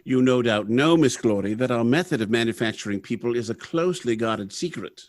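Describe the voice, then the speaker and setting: A man's voice: medium pitch, lively intonation, dry recording, natural voice. Man playing a formal factory manager, dry close sound. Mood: courteous, attentive. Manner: formal, brisk dictation and polite address. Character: courteous, businesslike male general manager of a robot factory. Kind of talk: recreated 1930s radio drama acting.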